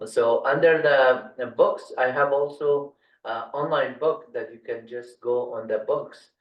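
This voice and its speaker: A man born in Afghanistan whose first language is Dari, giving a tech tutorial over a screen share, halting with many fillers and restarts. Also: mid-range voice. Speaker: tutorial instructor. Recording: roomy, distant, dull lo-fi mic.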